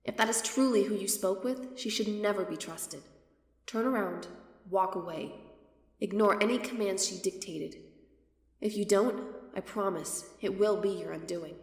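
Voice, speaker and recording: A woman's voice: medium pitch, normal speed, vocal fry, lightly acted. Guarded earnest church girl voiced by a woman, fantasy game, big reverby hall. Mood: grave warning. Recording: echoey hall with long reverb.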